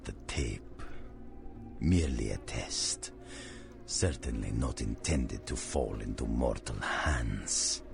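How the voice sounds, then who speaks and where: An actor playing an ancient, cultured vampire monster in a video game, speaking with theatrical menace, formal archaic phrasing and a breathy, whispering rasp. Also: male voice, extremely low pitch, slow pace, music in the background, exaggerated voice. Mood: grandly courteous.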